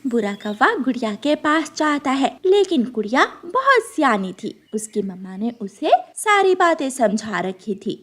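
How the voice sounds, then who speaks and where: Female children's narrator, high voice, lively and expressive scripted storytelling. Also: measured pace, few pauses.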